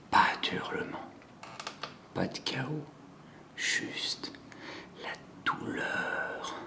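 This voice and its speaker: A man acting a whispering, cold psychopathic killer. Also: lowish voice. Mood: quietly intense. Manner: slow, hushed, deliberate delivery with drawn-out pauses. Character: cold, controlling male psychopath killer savoring his confession. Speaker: acting student performing a monologue. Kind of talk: theatrical dramatic monologue.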